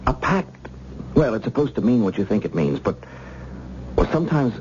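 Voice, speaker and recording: A man playing an earnest, protective husband in anguish, halting and emotional in old-time radio thriller style. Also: medium pitch, sing-song, a little mellow, close to an everyday voice. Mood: earnest, unsure. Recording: dull, faintly hissy old radio recording.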